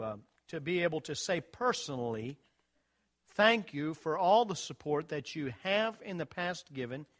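A man's voice: a little high, fairly bright tone. A man from Virginia, a missionary, thanking supporters in a friendly, lightly joking church talk while showing slides. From Virginia, USA.

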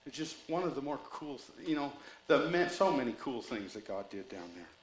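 A man's voice: mid-range voice, sing-song, normal speed, roomy sound, crowd noise, poor audio. A man preaching a sermon with rambling asides and jokes, reading scripture and telling a story. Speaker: pastor.

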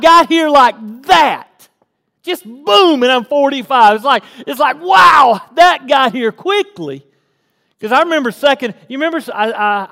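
A forty-something man preaches in a folksy, conversational way, now and then pushing into fervent emphasis. His voice sits high for a man. A long reverb trails his words through the church. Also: a bit bright. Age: 40s. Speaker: pastor. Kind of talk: casual sermon. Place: church sanctuary.

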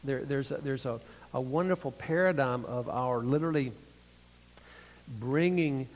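A middle-aged man with a thin voice gives an earnest, reflective sermon as a conference speaker, often pausing and restarting his phrases. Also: mid-range voice. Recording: long hall reverb.

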